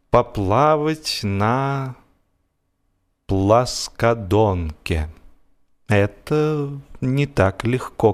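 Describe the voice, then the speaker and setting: A man reading humorous literary prose as an audiobook narrator, with a theatrical, expressive delivery. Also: medium pitch.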